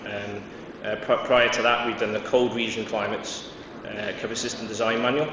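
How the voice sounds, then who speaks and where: A man's voice: on the low side, level tone, moderate pace, recorded from a distance. Welsh-born guy giving a talk, lots of ums, big echoey hall, kinda muffled and noisy. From Wales. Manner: hesitant, many fillers and restarts. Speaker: conference speaker. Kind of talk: conference presentation. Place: large conference hall.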